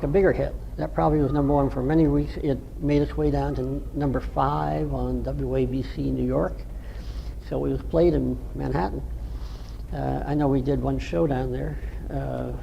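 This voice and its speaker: An older man from the North Shore of Massachusetts with a nasal voice, a veteran rock guitarist telling stories from his band days in an unhurried, rambling way. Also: fairly high pitch, vocal fry, warm tone, muffled recording, a little hiss or hum. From North Shore of Massachusetts, USA. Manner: unhurried, rambling storytelling with many ums. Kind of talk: reminiscing interview answers.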